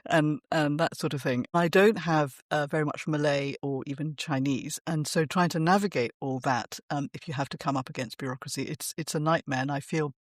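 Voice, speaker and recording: A woman's voice: sits low, steady tone, a little fast, hardly any pauses, dry recording, studio quality. A woman hosting an interview with a posh English accent, talking hesitantly with many ums and restarts. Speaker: podcast host. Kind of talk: interview conversation.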